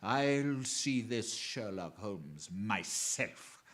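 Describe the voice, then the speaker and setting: A villainous criminal mastermind in a radio drama. He speaks slowly, with great swoops of pitch, savoring each threat and gloating as he repeats it. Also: lively intonation, fairly bright tone, heavily acted. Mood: scheming command. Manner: slow relishing menace, chuckling, repeats phrases. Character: male crime mastermind, menacing, gloating, theatrical.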